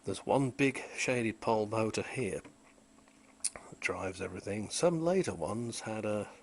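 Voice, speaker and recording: This is a man with a fairly low voice, talking through a piece of old equipment in a relaxed, hands-on way. He is technical but unhurried, and he drops in "uh" between phrases as he shows each part. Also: a little hiss or hum. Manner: unhurried, technical, with frequent "uh" pauses. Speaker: vintage electronics restorer. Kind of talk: casual show-and-tell demonstration.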